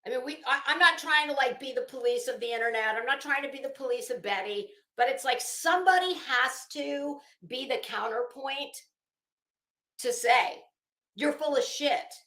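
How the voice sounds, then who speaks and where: A woman with a fairly high, very bright and forceful voice delivers an opinionated livestream rant. She is blunt and combative, leaning hard on her words and cursing freely. The room adds a clear echo around her voice. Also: normal speed. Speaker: commentary livestream host. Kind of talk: opinionated live commentary, casual rant.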